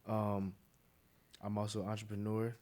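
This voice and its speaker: A man in his twenties chatting casually on a podcast in a laid-back, low-key way. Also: monotone, normal speed, mellow. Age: 20s. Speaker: podcast co-host, music producer. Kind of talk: casual chat. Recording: far from the mic.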